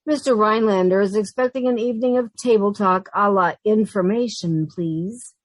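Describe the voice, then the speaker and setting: A woman's voice: mid-range voice, moderate pace, no echo, lightly acted. A woman playing an anxious, sardonic young roommate who also narrates, in an old-time radio sitcom style. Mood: sardonic despair. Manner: wry, long-suffering, nervous.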